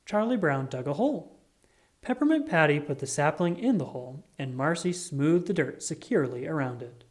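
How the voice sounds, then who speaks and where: A reader giving an expressive, scripted read-aloud of a children's picture book. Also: mid-range voice, normal speed. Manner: animated, expressive reading.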